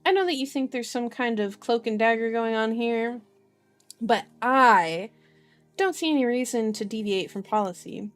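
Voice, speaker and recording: A woman's voice: fairly high pitch, normal speed, lightly acted. A woman voicing an irritable, condescending male police chief in a storybook reading, curt and authoritative. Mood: stern. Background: music.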